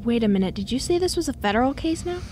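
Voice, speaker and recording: A woman's voice: a little high, animated, very fast, a little mellow, a little hiss or hum, natural voice. A woman playing an earnest, inquisitive teenage daughter in a radio drama, insistent and full of questions.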